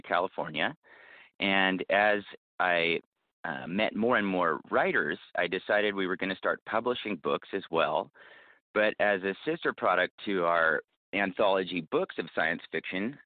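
A man's voice: lowish voice, no room sound. A man from the United States explaining his work conversationally as an interview guest. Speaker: interview guest, podcast producer. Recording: thin phone-like audio.

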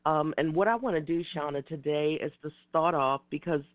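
A woman hosting an internet radio talk show, slightly hoarse and raspy from a cold, speaking in a measured way with repeated greetings. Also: sits low, dry recording. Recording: tinny phone line.

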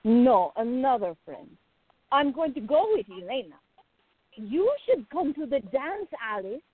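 Woman acting a playful, unhurried gallery worker, tinny sound. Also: high voice, sing-song, slightly rough, exaggerated voice. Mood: playful, friendly. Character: outgoing, teasing young Greek woman working at a gallery. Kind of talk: radio play dialogue. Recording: tinny, phone-like narrow sound.

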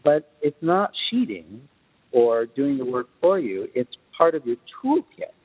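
Husky man on a tinny, reverberant line. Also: medium pitch, very animated, a little slow. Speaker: guest film composer. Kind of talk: explanatory podcast discussion.

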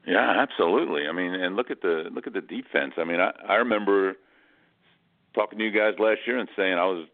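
A man talking sports casually as a radio guest, halting and often repeating words as he restarts. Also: low-pitched, narrow, tinny audio.

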